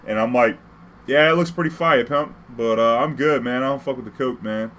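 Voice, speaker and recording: A man's voice: medium pitch, normal speed, a bit bright, a little hiss or hum. A man from New Orleans with an American accent, telling personal stories casually in profane street slang, acting out the dialogue.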